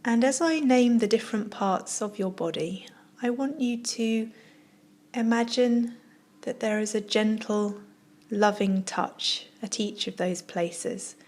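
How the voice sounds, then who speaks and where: A woman yoga teacher leading a guided relaxation, speaking softly and soothingly in short phrases with long pauses. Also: mid-range voice. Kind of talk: guided meditation, yoga nidra narration.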